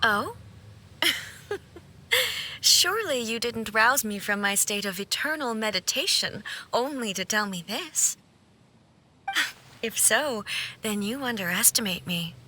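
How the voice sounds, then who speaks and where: A woman playing an aloof immortal ruler in a video game, cold, stately and formal, with haughty deliberate delivery. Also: a little high, expressive, pushed and bright, a little hiss or hum, heavily acted. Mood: scornful.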